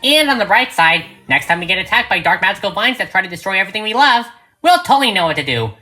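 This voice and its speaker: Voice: mid-range voice, big pitch swings, fast, bright, forceful tone, soft background music, pitched above the performer's own voice. A narrator voicing a bubbly, cheerful young female unicorn with a perky, chirpy delivery.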